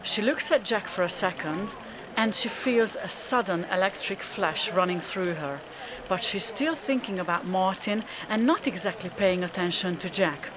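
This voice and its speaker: A woman's voice: medium pitch, moderate pace, noisy background. A woman reading scripted, descriptive narration for a radio drama.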